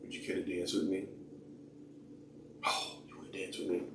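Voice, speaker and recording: A man playing a smooth-talking man courting a dance partner, in a slow, crooning, flirtatious murmur. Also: low-pitched, monotone, background noise, lightly acted. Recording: echoey room, mic at a distance.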